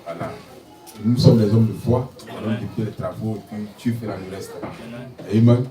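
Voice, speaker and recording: A man's voice: fairly low pitch, steady tone, normal speed, music in the background. A pastor in his forties preaching a sermon, punctuating his phrases with alleluia and amen. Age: 40s. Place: church hall. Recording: echoey hall, distant mic, noisy.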